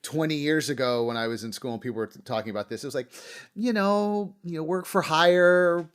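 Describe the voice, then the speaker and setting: A man's voice: on the high side, brisk, smooth. A middle-aged man hosting a podcast, talking casually and acting out imagined reactions as he tells stories. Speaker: podcast host. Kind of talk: casual interview chat.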